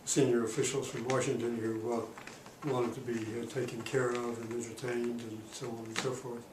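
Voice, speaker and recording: A man in his eighties, a retired diplomat, recalling his career in an oral history interview, pausing often with fillers. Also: medium pitch, level tone. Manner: halting, many pauses and fillers. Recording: roomy, distant mic, faint noise.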